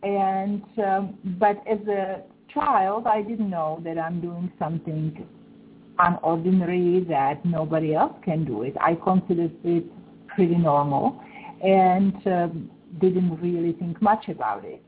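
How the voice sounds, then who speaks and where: A woman from the Czech Republic with a Czech accent, a guest pet psychic on a call-in show, speaking careful, halting English. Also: lowish voice, a little hiss or hum. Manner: careful, halting English with small grammar slips. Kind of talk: call-in radio chat. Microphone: Skype call. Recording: thin phone-line sound.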